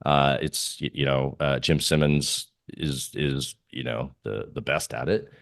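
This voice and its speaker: A man with an extremely deep voice talks casually as an interviewed trader. He thinks aloud in a halting way, and the sound is dry with very little room. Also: steady tone, gravelly, dry recording. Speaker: trader guest being interviewed. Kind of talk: casual interview talk on markets.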